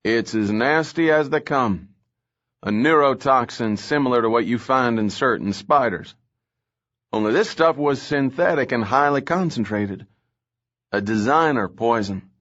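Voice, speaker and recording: A man's voice: medium pitch, expressive, measured pace, a bit bright. A man reading an audiobook, voicing an irritable, sleepy male police lieutenant with a slurred, grumbling manner. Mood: grimly talkative. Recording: slightly dull lo-fi audio.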